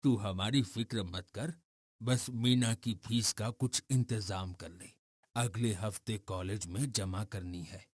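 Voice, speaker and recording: Slow, weary old father acted by a man. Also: on the low side, no echo, clean studio sound. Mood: gentle, weary.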